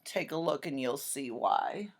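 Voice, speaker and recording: A woman's voice: low voice, lively intonation, a little slow. A woman voicing a gloomy male fish who always pouts, chanting his rhymes in a sing-song storybook way. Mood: glum sing-song.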